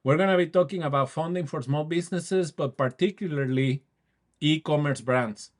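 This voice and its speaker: A man's voice: on the high side, moderate pace. A man hosting a business podcast, welcoming a guest in a friendly, eager way and adding short affirmations. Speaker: podcast host. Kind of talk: podcast interview.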